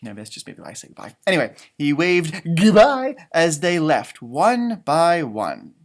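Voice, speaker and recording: A man with a high voice reads a picture book to young children. His delivery swoops up and down, expressive and exaggerated, and he drops in chatty asides like a teacher. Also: unhurried. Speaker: elementary music teacher reading aloud. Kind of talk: animated children's storybook read-aloud with commentary.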